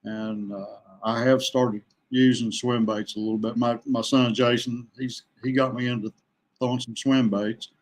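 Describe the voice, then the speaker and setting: A man in his sixties chatting casually as a podcast guest, folksy and halting, often repeating himself. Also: medium pitch, little pitch movement. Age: 60s. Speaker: guest, veteran kayak angler. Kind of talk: casual fishing chat.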